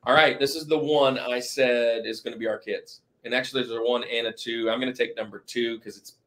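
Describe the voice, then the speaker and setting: A man's voice: mid-range voice, steady tone, brisk, a bit bright. A man hosting a talk show in a casual bantering style, often repeating phrases for emphasis. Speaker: podcast host.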